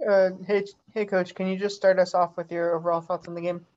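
A woman's voice: lowish voice, level tone, a little fast, a little mellow, highs cut off. A woman sports reporter asking a coach questions at a press conference, hesitant and full of fillers, over remote audio.

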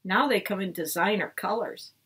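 A woman teacher voicing a kind, cheerful woman doctor in a storybook read-aloud, with a bright, reassuring manner and a breathy tone. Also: medium pitch, normal speed.

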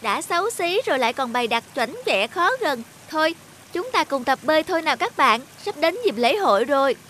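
High-pitched little cartoon fish. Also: female voice, animated, a little fast, a bit bright, slight background noise. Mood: teasing. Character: small talking cartoon fish, young, excitable, plaintive. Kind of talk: animated fairy tale voice acting.

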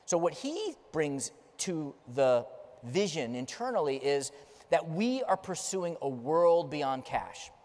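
A man's voice: a little high, big pitch swings, slow pace, darkish tone. Emphatic middle-aged man on a keynote stage. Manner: emphatic, storytelling delivery, gesturing while pacing the stage. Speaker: keynote speaker. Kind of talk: conference keynote speech. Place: large conference stage. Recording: long reverb of a large hall.

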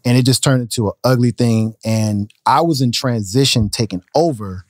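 A man in his thirties, a business owner telling stories in a casual podcast interview, relaxed and talking with his hands. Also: medium pitch, moderate pace, dry recording. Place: podcast studio, indoors. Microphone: studio mic on a boom arm. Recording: dry close studio sound.

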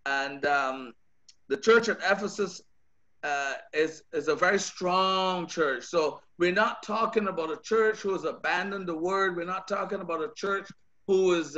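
A man's voice: sits high, a bit bright. A man with a Bajan accent, a pastor preaching a sermon over a video call, earnest, often repeating words as he builds his points. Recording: dull lo-fi video call audio.

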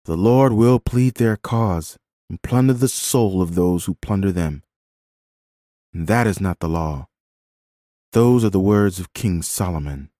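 A man's voice: lowish voice, lively intonation, a little slow, mellow, dry recording, clean studio sound. A man voicing a firm, compassionate, pious woman who speaks earnestly in a dramatised story. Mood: solemn rebuke. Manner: earnest, righteous, exhorting.

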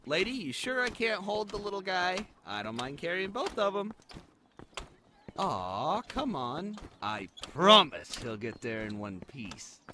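A man's voice: high voice, sing-song, measured pace, pushed and bright, a little hiss or hum, exaggerated voice. A man voicing an eager, insecure spider companion who stammers, pleads and boasts in an amateur fantasy audio drama. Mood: bossy.

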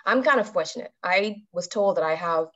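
A woman in her thirties giving a calm, measured talk to a club over a video call and putting questions to her listeners. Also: fairly low pitch. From Bahamas. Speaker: club speaker. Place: indoors with a virtual background. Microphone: webcam on a video call. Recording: dull video-call audio.

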